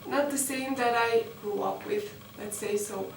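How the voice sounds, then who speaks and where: A young woman from Romania speaks in a fairly high voice, answering interview questions earnestly and stopping often on fillers. She is recorded far from the mic in a roomy space with clear background noise. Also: unhurried. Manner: earnest, hesitant with many fillers. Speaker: interviewee, master's student.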